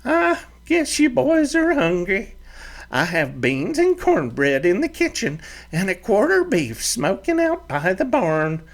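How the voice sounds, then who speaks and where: A man voicing a small, fiery-tempered ranch mother who scolds and bosses her grown son, with a sharp, high put-on voice. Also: sing-song, a little slow. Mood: softened.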